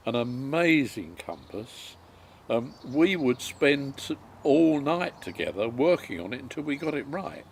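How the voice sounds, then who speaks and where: A man, recalling his life story in a halting way with many pauses and ums. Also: mid-range voice, a little hiss or hum. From England. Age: 90s. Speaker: interviewee.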